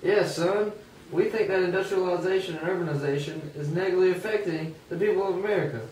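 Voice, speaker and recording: A man's voice: high-pitched, quick pace, a little mellow, close to an everyday voice. A man playing a disgruntled old-time American working man in an amateur puppet show. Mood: earnest. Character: disgruntled 19th-century American working man, sceptical of politics. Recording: echoey room, distant mic, faint noise.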